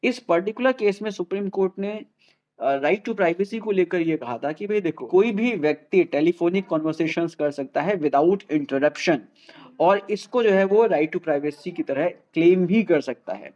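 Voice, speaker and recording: A man's voice: high-pitched, lively intonation, unhurried, darkish tone, muffled recording. An Indian man presenting an explainer in a didactic way, repeating key points and mixing Hindi with English. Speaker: host of a legal explainer channel.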